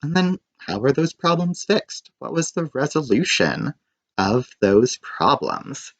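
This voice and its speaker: Very animated man reading a storybook. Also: mid-range voice, slow, no room sound. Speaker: storybook reader. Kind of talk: expressive read-aloud narration for children.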